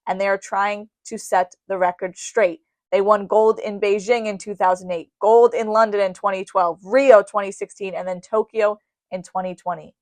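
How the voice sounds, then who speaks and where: A woman's voice: mid-range voice, fairly even intonation, normal speed, a little mellow, dry recording. Dry-sounding woman hosting sports radio. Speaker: sports radio show host. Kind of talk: sports radio commentary and recap. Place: radio studio.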